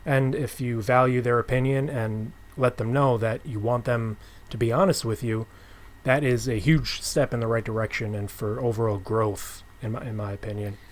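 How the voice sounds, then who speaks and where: A man's voice: mid-range voice, steady tone, moderate pace. A man hosting a casual interview, talking in an informal, thoughtful and explanatory way. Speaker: podcast host and comic writer.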